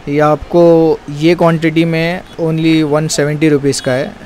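A man's voice: on the high side, little pitch movement, a little slow, darkish tone. A man, hosting a food vlog and chatting about dishes and prices. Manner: chatty, promotional, explains prices. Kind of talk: casual food review, street interviews. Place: restaurant in a city street. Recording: street traffic noise behind.

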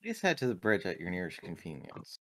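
A dutiful android crewmember played by a man speaks in a low voice. The delivery is polite, formal and precise, as in a science fiction roleplaying game. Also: huge pitch swings, fairly quick, a bit bright, exaggerated voice. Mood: polite, composed.

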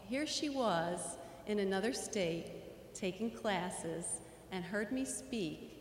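A middle-aged woman with a Philadelphia accent, telling a story in an acceptance speech into a podium microphone in a large school auditorium. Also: medium pitch. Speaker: award inductee. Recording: echoey hall, faint room noise.

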